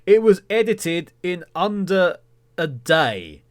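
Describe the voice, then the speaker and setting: A man's voice: sits high, big pitch swings, takes it slowly. A man hosting a podcast in casual solo talk, with a rambling, self-deprecating and mock-indignant humour. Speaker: podcast host.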